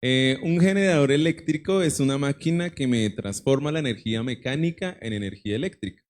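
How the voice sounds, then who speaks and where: A man's voice: mid-range voice, a little fast. A man from Colombia, an engineer, giving a plain technical explanation to a church congregation.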